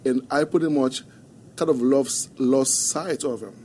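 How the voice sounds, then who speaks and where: Soft-spoken Haitian-accented man, mellow voice. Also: medium pitch, steady tone. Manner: soft-spoken, halting, earnest storytelling. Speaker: guest. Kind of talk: podcast interview. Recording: faint background noise.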